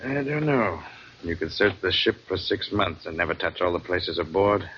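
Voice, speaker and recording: An actor playing a weary, fatherly ship's captain in an old radio drama, with a gravelly, husky voice. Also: low voice, moderate pace, slight background noise, natural voice. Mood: weary concern. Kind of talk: 1940s-50s radio drama acting. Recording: dull vintage radio drama recording.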